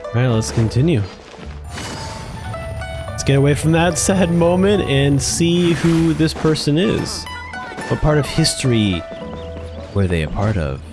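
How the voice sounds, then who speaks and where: Lively man streaming over game music. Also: on the high side, a little slow, pauses often. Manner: chatty, answers the game characters. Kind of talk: casual live game reaction.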